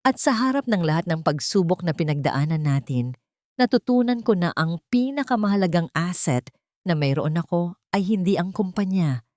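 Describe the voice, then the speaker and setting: A woman's voice: lowish voice, darkish tone, dry recording, studio quality, close to an everyday voice. A woman voicing a devoted, protective rich husband with an earnest, assured manner in a romance drama.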